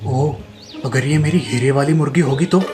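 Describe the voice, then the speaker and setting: A man's voice: mid-range voice, moderate pace, darkish tone, lightly acted. A man voicing a frantic, bumbling male thief in a comic children's cartoon story, exclaiming in a flustered way. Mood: anxious. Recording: music behind the voice.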